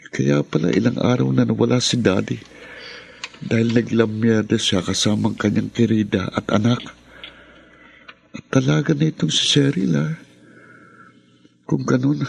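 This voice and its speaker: A resentful, indignant younger brother in a radio drama. His voice is husky and gravelly, and he speaks like someone accusing his family of betrayal. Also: lowish voice, exaggerated voice.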